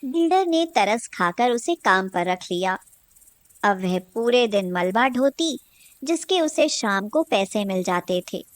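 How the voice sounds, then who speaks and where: A woman's voice: medium pitch, animated, slow pace, dry recording. A woman narrating a scripted cartoon story as a storyteller.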